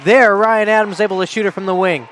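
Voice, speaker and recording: A man's voice: high-pitched, steady tone, fast talker, fairly bright tone, slight background noise. An American man giving game analysis as a colour commentator, with gym ambience behind him.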